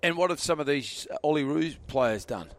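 A man co-hosting a radio show, brisk and playful in casual banter. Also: on the high side, animated. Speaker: radio co-host.

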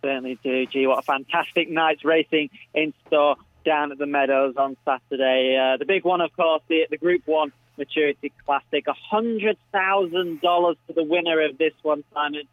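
Australian male, matey and enthusiastic racing tipster, slightly high voice, phone-quality sound, conversational commentary. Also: steady tone, normal speed, few pauses, no echo. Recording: thin phone line.

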